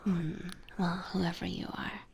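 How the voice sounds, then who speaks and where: A woman livestreaming ASMR, chatting gently to her viewers in a soft, breathy whisper close to a binaural microphone. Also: lowish voice, flat delivery, measured pace. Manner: gentle, chatty, giggly, addressing chat.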